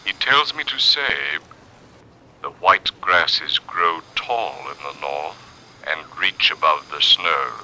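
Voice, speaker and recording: A very deep-voiced, deferential male attendant speaks with measured formality. The tone is sharp and forceful, on a slightly noisy vintage broadcast. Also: expressive, exaggerated voice. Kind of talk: old-time radio drama acting.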